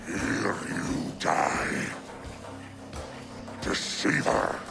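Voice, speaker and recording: A giant vengeful wolf from a video game, growls in an extremely low voice. The delivery is slow, heavy with gravel, contemptuous and threatening. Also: male voice, very animated, music in the background, exaggerated voice. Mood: furious. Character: giant monstrous wolf, male, bitter, menacing, vengeful. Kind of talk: dramatic video game acting. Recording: noisy game sound effects behind voice.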